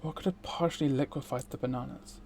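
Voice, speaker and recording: A man's voice: medium pitch, steady tone, quick pace, darkish tone, a little hiss or hum. A man streaming a visual novel, reading it aloud theatrically and dropping in casual asides. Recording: music behind the voice. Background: game music.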